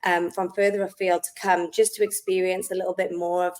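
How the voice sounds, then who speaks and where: A woman's voice: lowish voice, little pitch movement, a little fast. A woman from South Wales with a Welsh accent, a guest in a casual interview, talking in a friendly, chatty way with frequent ums. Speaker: interview guest, wellness business founder.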